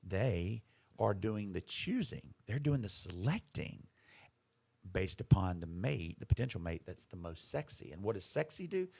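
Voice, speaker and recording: A man's voice: fairly low pitch, very animated, a little slow, vocal fry, mellow. A man co-hosting a podcast, explaining ideas in casual conversation, emphatic and fond of rhetorical questions. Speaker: podcast co-host.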